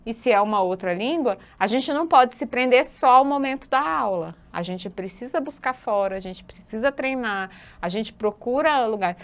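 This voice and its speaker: Brazilian woman, online teacher, slightly high voice, patient and explanatory, video-call sound. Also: expressive, normal speed, few pauses. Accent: Brazilian Portuguese. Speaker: sign language teacher. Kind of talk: online class, explaining to students.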